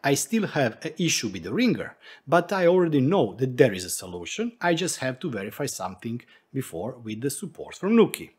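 A man's voice: medium pitch, very animated, fairly quick, few pauses, husky. A man in his forties, a non-native English speaker, explaining a tech tutorial emphatically as a video host. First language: not English. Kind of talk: tutorial narration to camera.

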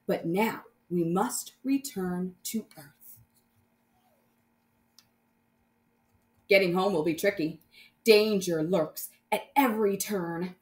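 Woman playing a theatrical boy space hero, lively pitch. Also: reverberant. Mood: boastful. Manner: theatrical, exclamatory. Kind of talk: children's storybook read-aloud.

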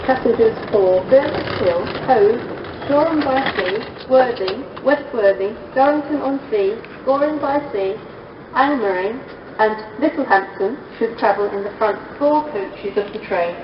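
A woman's voice: on the high side, animated, measured pace. A woman with a British accent giving automated platform announcements at a railway station. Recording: echoey, distant, muffled platform recording, noisy.

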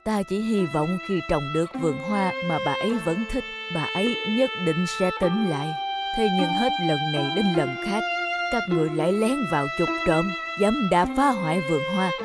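An actor voicing a gruff, grieving old farmer in a cartoon, speaking slowly and sternly. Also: male voice, high-pitched, expressive. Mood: bitter, stern. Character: gruff, grieving old farmer guarding his lavender garden. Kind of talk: cartoon voice acting. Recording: music under the voice.

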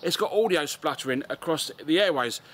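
A man's voice: a little high, expressive, brisk, a bit bright. A man in his forties with an English accent casually demonstrating gear as a video host. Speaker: amateur radio video host. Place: outdoors in a garden and on a street. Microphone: camera mic, arm's length or further. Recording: outdoor camera audio with background noise.

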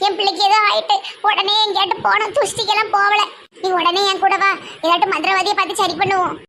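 A woman's voice: very high-pitched, flat delivery, fast talker, background noise. A woman playing a superstitious, scolding mother in a comic family skit, nagging and lamenting in a southern Tamil Nadu accent. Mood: panicked.

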